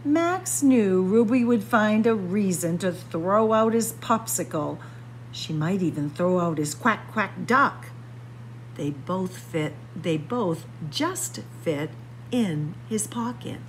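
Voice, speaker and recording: Slow, very animated woman reading to children. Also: mid-range voice, a little hiss or hum. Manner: playful, expressive. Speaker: children's librarian, story time reader. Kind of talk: picture book read-aloud for young children. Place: indoors, library with bookshelves.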